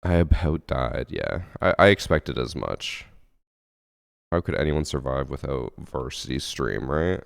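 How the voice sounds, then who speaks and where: A man with a remarkably low, gravelly voice chats through a home game stream at a desk mic. His tone is smooth and resonant but sniffly and congested from a late night. He rambles easily and pokes fun at himself. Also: expressive, a little slow, dry recording, studio quality. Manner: laid-back, rambling, self-mocking. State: sniffly, congested from lack of sleep. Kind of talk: casual live-stream chat, reading chat aloud.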